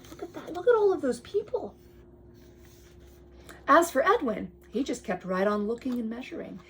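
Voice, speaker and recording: A woman reading aloud to a child with chatty asides, expressive and full of wonder, often dropping to a whisper. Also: fairly high pitch, gravelly, slight background noise, poor audio.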